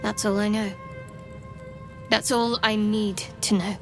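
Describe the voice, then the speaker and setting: A woman's voice: medium pitch, little pitch movement, slow. A woman playing a guarded, devout young cleric in a video game, solemn and clipped in manner. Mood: guarded, resolute. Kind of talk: video game character acting. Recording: loud background music.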